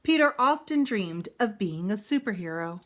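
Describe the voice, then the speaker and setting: A woman reads a children's story aloud for young listeners. Her mid-pitched voice moves up and down in an animated, expressive way, and she adds small explanations as she goes. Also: normal speed. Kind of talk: children's book read-aloud.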